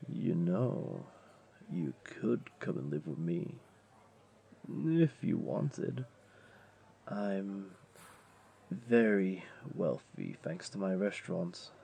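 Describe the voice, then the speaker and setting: A man's voice: low-pitched, vocal fry, mellow, breathy edge, full character voice. A man playing a wealthy, flirtatious jaguar beastling in a comforting audio roleplay, tender and teasing, with a coaxing, purring delivery. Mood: concerned, coaxing.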